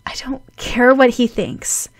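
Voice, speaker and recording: Woman doing a gushy lovestruck girl for an audiobook, excitable, gets flustered easy, pitched up a bit. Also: slow pace, no room sound, whispered. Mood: dreamy, anxious. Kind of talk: audiobook character voice.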